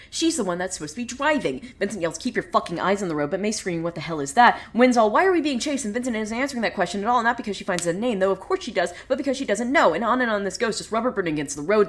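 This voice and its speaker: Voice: medium pitch, lightly acted. A woman playing a wry, theatrical narrator of a surreal small town in a scripted audio drama, telling the tale dramatically. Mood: agitated, excited. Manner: theatrical, swinging from hushed to excited. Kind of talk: dramatic scripted storytelling monologue.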